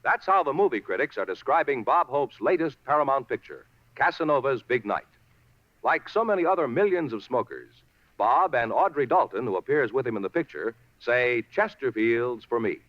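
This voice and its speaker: A man's voice: mid-range voice, very animated, moderate pace, no echo. A man announcing a 1950s radio commercial. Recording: muffled 1950s radio recording.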